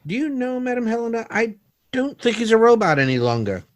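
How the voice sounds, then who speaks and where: A man's voice: extremely high pitch, sing-song, fairly quick, no room sound. A man playing an uneasy scientist in a radio drama, formal and hesitant, by turns deferential and commanding. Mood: grave, uneasy.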